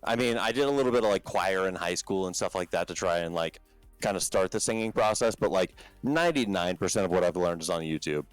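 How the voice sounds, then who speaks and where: Man, deep voice, smooth tone, casual rambling podcast chat, lots of fillers, faint music behind. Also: animated, fast talker, no room sound, poor audio. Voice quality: low talking voice. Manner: rambling, filler-heavy, many restarts. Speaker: band vocalist guest.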